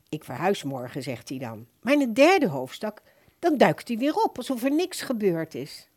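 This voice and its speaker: An actress playing an older, thrifty and easily puzzled woman customer in a radio play, polite, hesitant and rambling. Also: medium pitch. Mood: puzzled, complaining.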